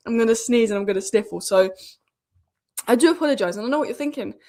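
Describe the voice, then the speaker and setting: A woman's voice: a little high, expressive, quick pace, dark tone. Sniffly woman with a cold. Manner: chatty, opinionated, rambling with fillers and restarts. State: has a cold, sniffly and sore-throated. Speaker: football channel host. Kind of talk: casual live-stream commentary.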